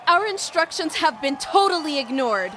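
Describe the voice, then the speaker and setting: A woman's voice: high-pitched, lively intonation, moderate pace, background noise. A woman voicing Marion, an old female forest critter who is indignant and distressed.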